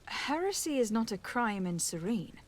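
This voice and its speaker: A woman's voice: fairly high pitch, very animated. Composed, courteous young noblewoman. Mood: composed, inquiring. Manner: measured, courteous, formal. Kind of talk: video game dialogue acting.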